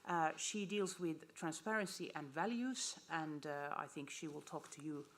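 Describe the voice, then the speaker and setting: A woman with a slightly low voice opens an event as its host. She gives an informal welcome and introduces a speaker, pausing hesitantly as she goes. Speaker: event host, European Commission staffer.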